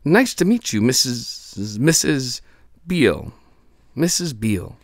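A man's voice: mid-range voice, sing-song, slow, no room sound, studio quality, pitched above the performer's own voice. A man reading aloud and voicing a young boy who speaks eagerly and politely.

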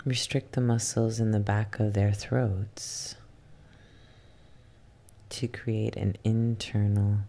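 A soft-spoken meditation guide leading an ASMR yoga nidra session in a soothing, unhurried manner with long pauses. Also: mid-range voice, flat delivery, creaky.

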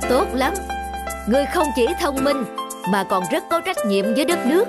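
A woman voicing a commanding, fair-minded king in an animated folktale, speaking deliberately and regally. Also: sits high, over loud music. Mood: approving. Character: king, adult man, imperious yet fair-minded ruler.

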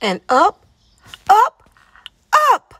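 A kindly storybook bird teacher, voiced by a woman, coaxing and instructive. The voice rises high and lilts widely as it encourages. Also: wildly swooping pitch, extremely slow, fairly bright tone, dry recording, heavily acted. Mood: encouraging.

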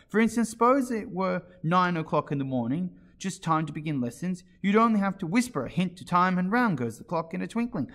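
Storybook eccentric: haughty, mournful, contrary man, pitched very high with swooping delivery. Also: fairly bright tone. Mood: contemptuous, pompous. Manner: argumentative, emphatic, contrary.

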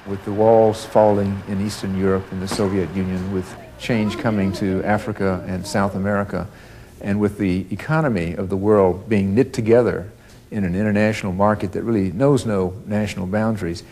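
A middle-aged man who leads a university, addressing the camera in a measured, stately way in a grand hall. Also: fairly low pitch, fairly even intonation, dark tone. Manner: measured, stately delivery with some hesitations. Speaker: university leader.

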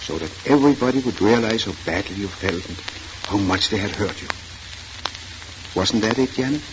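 An actor playing a kindly but firm family doctor in a 1940s radio drama. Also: male voice, lowish voice, lively intonation, moderate pace, close to an everyday voice. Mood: firm, probing. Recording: noisy, muffled 1940s radio recording.

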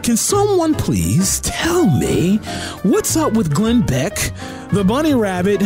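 A man's voice: high-pitched, huge pitch swings, takes it slowly. A man hosting radio news talk in a punchy, theatrical announcer delivery, with teasing asides, close on a studio mic. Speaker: radio host. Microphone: close broadcast boom mic. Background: music bed.

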